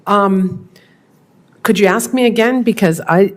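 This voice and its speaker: A woman's voice: medium pitch, a little slow. A woman giving formal testimony as a witness, hedging and somewhat flustered. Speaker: hearing witness.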